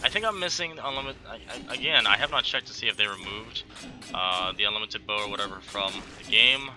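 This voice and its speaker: A man in his thirties from Texas with a light Texan accent, chatting through gameplay commentary on a headset, full of quick exclamations and talk to himself. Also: fairly low pitch, bright, forceful tone, soft background music. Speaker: gaming channel host.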